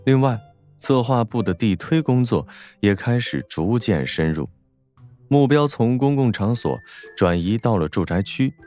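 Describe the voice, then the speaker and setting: A man narrates a novel in a mellow, warm timbre. His mid-pitched delivery moves expressively through the scripted prose, over faint music. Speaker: audiobook narrator.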